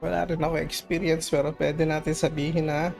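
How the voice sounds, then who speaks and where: A man's voice: fairly high pitch, little pitch movement, fast, creaky, darkish tone. A man with a Filipino accent giving casual, joking live commentary as a game streamer. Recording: game music behind the voice.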